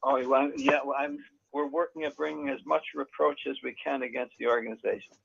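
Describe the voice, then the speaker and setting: An older man calling in to a group chat, talking in a folksy, warm and effusive way. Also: mid-range voice, fairly even intonation, brisk, gravelly, husky. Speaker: caller. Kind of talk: casual call-in chat. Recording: muffled call audio.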